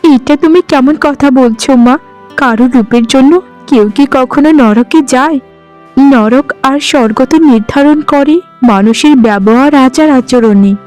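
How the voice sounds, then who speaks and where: A woman plays a gentle, mistreated stepdaughter in a melodramatic cartoon. Her high voice is pleading and sorrowful. Faint music runs underneath. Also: normal speed, close to an everyday voice. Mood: hurt, pleading. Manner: pleading, plaintive, meek.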